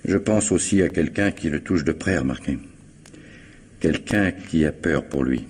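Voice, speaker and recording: A male police commissioner with a deep, slightly rough, dark voice. He questions dryly and insistently, with sly insinuation, in the style of a 1950s French radio mystery. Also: measured pace, full character voice. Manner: dry, probing, insinuating.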